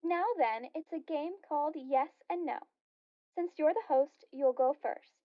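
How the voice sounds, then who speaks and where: A woman's voice: sits high, a little slow, no room sound. A woman playing an eager party guest leading a game in a radio play. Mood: playful. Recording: dry, slightly dull lo-fi audio.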